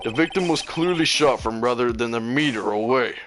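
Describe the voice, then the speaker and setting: Man putting on a low, smug prosecutor. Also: fairly quick, music in the background, close to an everyday voice. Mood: condescending. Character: cold, smug, arrogant male prosecutor. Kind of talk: video game voice-over reading.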